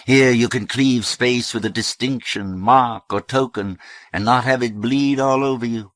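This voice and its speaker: A man voicing a fiery woman preacher in an audiobook, orating in a forceful, declamatory way. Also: medium pitch, a little slow, dry recording, voice pushed up, lightly acted. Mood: impassioned. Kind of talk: audiobook character voice.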